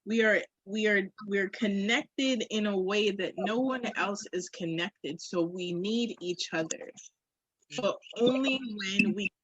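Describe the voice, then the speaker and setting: A woman's voice: mid-range voice, slow, fairly bright tone, slightly rough, no echo, rough recording. A woman in casual, candid conversation, speaking in an informal, reflective and emphatic way. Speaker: podcast co-host. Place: phone call.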